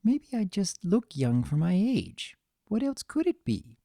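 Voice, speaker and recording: A woman voicing a gentle, anxious young female cartoon pony, earnest, soft and fretful. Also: fairly low pitch, sing-song, unhurried, no echo, studio quality, voice pushed up, full character voice. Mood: musing, uneasy.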